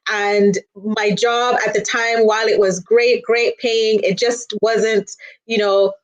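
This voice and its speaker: A woman's voice: fairly high pitch, little pitch movement, slow, rough recording. A woman talking casually and chattily as an interview guest, often saying "you know" as she explains. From Nigeria. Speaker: guest, children's storyteller.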